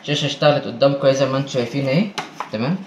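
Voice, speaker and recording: Egyptian male installer, casual tutorial delivery, rapid-fire, faint background noise. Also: medium pitch, reverberant. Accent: Egyptian Arabic. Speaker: installer presenting an installation video. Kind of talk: casual hands-on tutorial commentary. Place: indoors in a marble-walled room. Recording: noisy handheld on-site recording.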